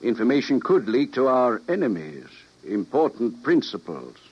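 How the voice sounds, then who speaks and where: An actor playing a huge, sardonic government scientist and official, cool, condescending and menacing, in an old-time radio drama. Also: male voice, medium pitch, sing-song, normal speed, slightly rough. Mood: smug. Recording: dull old radio recording.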